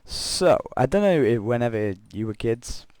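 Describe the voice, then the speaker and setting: A young man with a croaky voice from being unwell, rambling through gameplay commentary and a story, correcting himself often with chuckling asides. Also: mid-range voice, lively intonation, normal speed, a little mellow, dry recording. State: croaky voice from illness. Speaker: Minecraft let's player.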